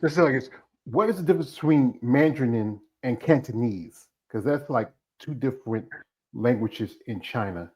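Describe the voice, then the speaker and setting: A man's voice: mid-range voice, animated, normal speed, a little mellow. A man hosting a casual interview who asks rambling, enthusiastic questions. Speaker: interview host. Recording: slightly dull, lo-fi audio.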